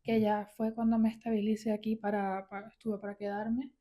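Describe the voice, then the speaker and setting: A woman's voice: mid-range voice, little pitch movement, fairly quick, dark tone. A woman in her thirties from Venezuela with a Venezuelan accent, telling stories casually as a podcast guest. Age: 30s. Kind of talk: casual conversational storytelling.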